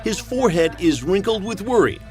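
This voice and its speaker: A man's voice: high-pitched, very animated, a little fast. Dramatic male TV crime narrator. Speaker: TV documentary narrator. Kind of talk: scripted narration. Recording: TV clip with background music.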